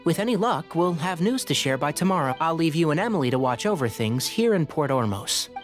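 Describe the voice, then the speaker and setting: A man voicing a calm young ranger and scholar in a video game, measured and reassuring. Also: a little high. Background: game music.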